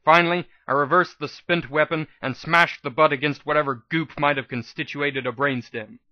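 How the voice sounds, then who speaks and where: A man's voice: fairly high pitch, fairly even intonation, normal speed, no room sound, dull audio. A man reading a scripted first-person story aloud as a dramatic audiobook narration. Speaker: audiobook reader.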